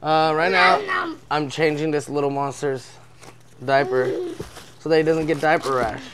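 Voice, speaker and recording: A man's voice: on the high side, very animated, a little slow, heavy background noise. A young man from Brazil, speaking earnestly in a documentary interview and often stopping to restart his sentences.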